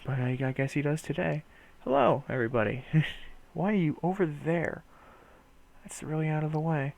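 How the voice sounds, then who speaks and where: A man's voice: a little high, moderate pace, creaky, darkish tone. A man with a nasal voice rambling casually and playfully to his viewers, swearing now and then. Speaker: streamer talking to viewers. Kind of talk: casual rambling chat to camera.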